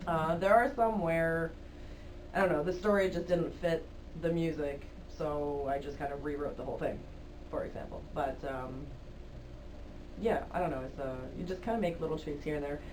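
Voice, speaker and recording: A woman's voice: sits low, moderate pace, a little mellow, far from the mic, a little hiss or hum, rough recording. A woman, the band's singer, answering interview questions casually with hesitant fillers and little laughs. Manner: giggly, hesitant, many fillers. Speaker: band singer, guest. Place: college radio studio.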